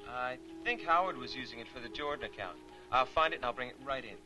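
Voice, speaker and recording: A young actor playing a polite, eager office worker in a 1980s training film. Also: male voice, medium pitch, fast, recorded from a distance, close to an everyday voice, poor audio. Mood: helpful. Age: young adult. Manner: polite, obliging. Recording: 1980s film soundtrack with music.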